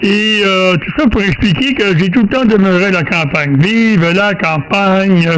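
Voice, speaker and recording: An older man from Quebec speaks with a Québécois French accent in a notably high voice. He chats casually as a ham radio operator, halting and rambling between drawn-out pauses. Faint radio noise runs under his words. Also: fairly bright tone, rough recording. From Quebec, Canada. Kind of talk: casual radio contact chat.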